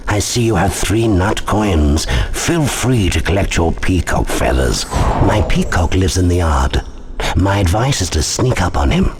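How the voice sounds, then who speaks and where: An actor playing a kindly, avuncular shopkeeper in a video game, giving advice in a measured way. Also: male voice, low-pitched. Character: kindly, advising male shopkeeper with forgotten clutter. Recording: noisy background with clicks.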